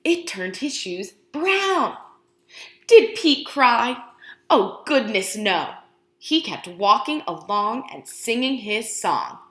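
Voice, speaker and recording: A woman reads a picture book to small children as their teacher, in an unhurried, lively, swooping voice. She puts questions to the listeners and waits for replies, exaggerating her words to encourage them. The room adds a clear echo. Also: fairly high pitch. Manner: expressive, asking questions and pausing for answers; exaggerated, encouraging talk aimed at young children. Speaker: pre-K teacher reading aloud to children. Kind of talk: interactive children's picture book read-aloud.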